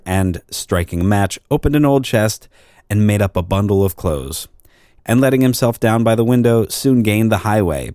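Low-voiced man narrating an old tale. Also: a little mellow, no room sound, studio quality. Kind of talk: scripted audiobook narration of an old crime story.

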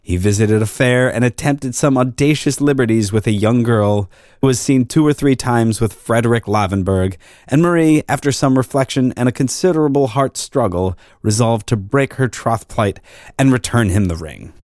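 A man narrating an old-fashioned drama in a formal, measured storytelling manner. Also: medium pitch, darkish tone, no room sound, studio quality. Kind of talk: scripted literary narration of a drama.

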